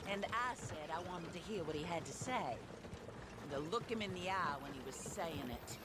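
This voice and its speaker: A woman playing a vengeful, poised Old West widow in a video game, speaking in a genteel, deliberate way. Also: lowish voice, animated, heavy background noise, close to an everyday voice. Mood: composed, steely. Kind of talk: video game cutscene acting.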